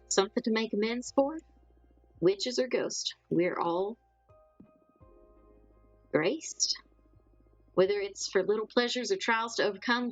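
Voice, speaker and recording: A woman voicing an old necromancer witch, sardonic and gruff but secretly kind, blunt and theatrical in a dramatic story. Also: medium pitch, no room sound, natural voice, poor audio.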